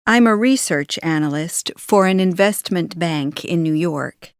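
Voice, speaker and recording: Slow woman, careful. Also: on the low side, clean tone, no echo, studio quality. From Brazil. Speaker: speaker introducing herself. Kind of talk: scripted self-introduction.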